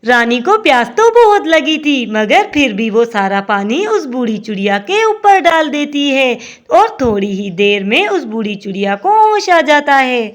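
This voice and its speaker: A woman narrating a scripted children's cartoon story in an expressive storybook manner. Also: high-pitched, measured pace, few pauses.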